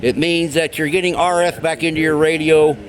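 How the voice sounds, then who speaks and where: A man's voice: fairly high pitch, fairly even intonation, a little fast. A middle-aged American man giving a casual hands-on explanation in a folksy, informal way. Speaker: hobbyist giving radio tips. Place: indoors at a wooden workbench.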